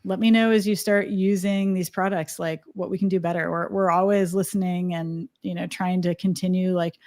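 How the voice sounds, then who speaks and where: A woman guest on a live stream, talking fast with many fillers and restarts. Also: lowish voice, steady tone, darkish tone. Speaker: guest from a web design company. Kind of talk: casual live-stream interview.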